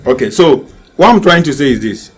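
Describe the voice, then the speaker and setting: A man's voice: on the high side, big pitch swings, fairly quick, breathy edge. A young man from southeastern Nigeria making his case in a televised panel, repeating himself to hold the floor. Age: young adult. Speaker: young political candidate. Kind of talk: panel discussion.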